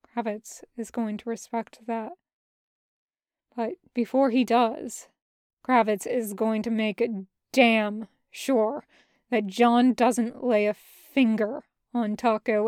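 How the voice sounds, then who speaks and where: A woman reading fan fiction aloud as expressive scripted narration. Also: a little high, takes it slowly, clean tone, no echo, studio quality.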